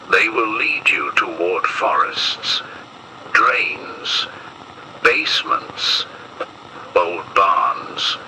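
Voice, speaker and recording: A man reading a scripted emergency bulletin as horror narration, in a solemn, measured and ominous manner. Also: deep voice, pushed and bright, slight background noise, poor audio.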